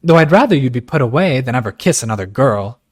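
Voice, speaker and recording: A man voicing a frank, impulsive young woman from a wealthy family, speaking high and fast. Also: very animated, a little mellow. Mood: passionate. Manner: high, passionate, rushed.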